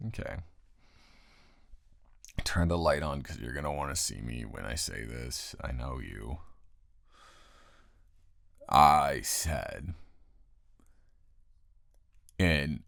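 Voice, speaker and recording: A man playing a grumpy, tired young male roommate who is secretly smitten, in a halting, exasperated, intimate improvised audio roleplay. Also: expressive, a bit bright, no echo, full character voice. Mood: exasperated, teasing. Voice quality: very deep, gravelly, close and dry.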